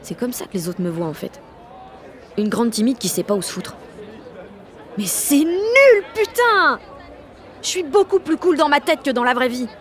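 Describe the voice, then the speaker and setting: A woman's voice: sits high, sing-song, normal speed, pauses often, a faint crowd. An actress playing a sullen sixteen-year-old French village girl, narrating an audio drama in blunt, sardonic slang full of swearing. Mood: scornful. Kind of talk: first-person audio fiction narration in teen slang.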